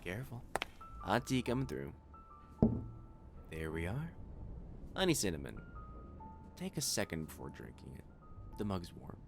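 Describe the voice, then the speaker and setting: Man as a low, creaky-voiced catboy waiter. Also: big pitch swings, moderate pace, long pauses, music in the background, full character voice. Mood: soothing. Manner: gentle, soothing, lightly teasing. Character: caring, teasing male catboy cafe waiter. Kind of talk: ASMR roleplay.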